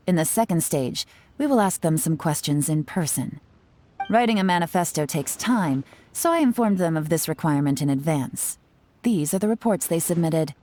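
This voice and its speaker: A woman's voice: on the low side, dark tone, natural voice. A woman voicing a cool, confident, shrewd investigator in a video game, composed and businesslike.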